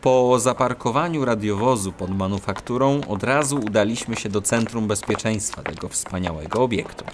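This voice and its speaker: A man's voice: lowish voice, moderate pace, few pauses, noisy background, close to an everyday voice. A man playing an earnest, bashful cat police detective, narrating and acting in a children's audio drama. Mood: reflective.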